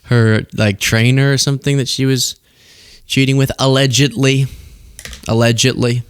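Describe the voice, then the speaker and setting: A man's voice: medium pitch, level tone, moderate pace, clear, resonant tone. An American man hosting a political show, reacting to news clips in a casual, sarcastic and incredulous conversational way. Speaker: political commentary show host.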